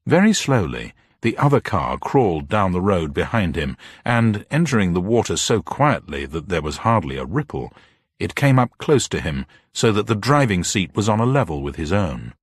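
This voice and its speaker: A man's voice: deep voice, very animated, moderate pace, slightly rough, no room sound, studio quality. A man narrating a scripted mystery story in a dramatic style.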